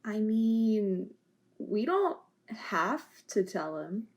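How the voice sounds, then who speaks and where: A woman playing a calm, deadpan female officer in an amateur audio drama. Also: mid-range voice, drawn-out words, full character voice. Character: laid-back female officer, deadpan tea lover.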